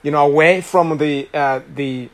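A man in his late thirties from Rwanda, with a Rwandan accent, preaching as a guest speaker and telling his story with many pauses, fillers and restarts. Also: on the high side, steady tone. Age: late 30s. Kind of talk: sermon testimony.

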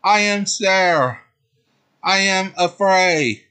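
A young storybook heroine girl, frightened and close to tears, as a man puts her on. She speaks high and slowly, pleading and trembling. The tone is bright and forward. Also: animated, smooth, exaggerated voice. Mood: scared, tearful.

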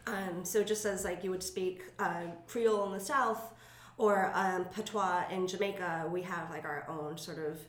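A woman's voice: fairly low pitch, fairly even intonation, moderate pace, roomy sound. A young woman from Hawaii chatting casually as an interview guest, with lots of fillers and a self-conscious manner. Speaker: guest, business co-owner.

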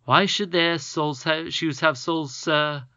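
A woman's voice: low-pitched, unhurried, pushed and bright, no echo, muffled recording. A woman voicing a cheerful little male goblin cobbler in a rhyming storybook poem. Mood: sing-song. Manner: sing-song rhyming.

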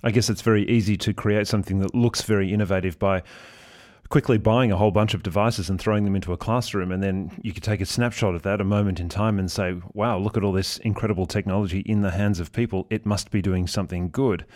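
A man's voice: lowish voice, steady tone, quick pace, a little mellow. A man interviewing a guest, asking reflective questions and often murmuring agreement. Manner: reflective, with frequent agreeing backchannels.

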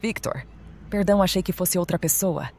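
Woman acting Ana, a low-voiced young student politician. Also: moderate pace, darkish tone. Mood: casual, apologetic. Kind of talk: amateur audio drama acting.

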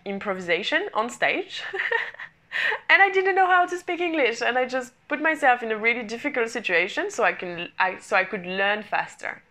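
A woman's voice: mid-range voice, sharp tone. A woman in her twenties from France, speaking English with a French accent, sharing her life story in an earnest, repetitive monologue with non-native grammar. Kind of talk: personal motivational monologue.

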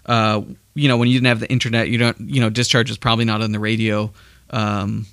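A man from outside Boston talks in a loose, casual podcast chat. His voice is bright and forward, recorded close and dry with no room sound. He often restarts and hedges while he explains what he thinks. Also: fairly low pitch, little pitch movement, brisk, dry recording. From Massachusetts, outskirts of Boston. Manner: hesitant, restarts and laughs while explaining opinions. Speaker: podcast co-host.

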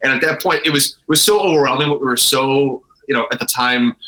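A man's voice: mid-range voice, brisk, pushed and bright, poor audio. An American man speaking candidly and informally as an interview guest, often breaking off and restarting his storytelling. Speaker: interview guest, brewery owner. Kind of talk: casual, candid conversation.

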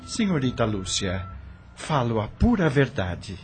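An overly gallant young doctor from a radio soap, played by a male actor. His very husky voice swings expressively as he presses his courtesies, with faint music underneath. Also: medium pitch, very animated, unhurried, exaggerated voice. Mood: courtly, insistent.

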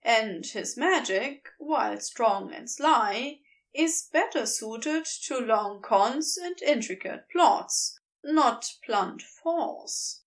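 Slow, lively-voiced woman storyteller. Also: on the high side. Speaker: podfic reader. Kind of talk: audiobook narration of fan fiction.

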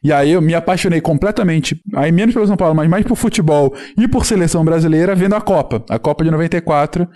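A man in his thirties speaks with a Carioca Brazilian accent and a somewhat high, mellow voice. He is a podcast guest in casual chat, telling his stories in an animated, rambling way full of restarts. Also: quick pace, few pauses. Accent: Carioca Brazilian Portuguese. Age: 30s. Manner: animated, rambling storyteller with many restarts and fillers.

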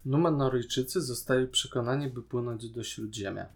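A man's voice: mid-range voice, moderate pace, soft background music. A man co-hosting a podcast in casual conversation, explaining his opinions and asking questions. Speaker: podcast co-host. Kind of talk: casual chat, film and series discussion.

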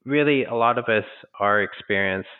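An American man from Texas answering in a conversational business interview, explaining things in a halting, rambling way with self-corrections. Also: fairly low pitch, clear, resonant tone. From Texas, United States. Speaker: interview guest, franchise business co-founder. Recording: narrow, tinny phone-line sound.

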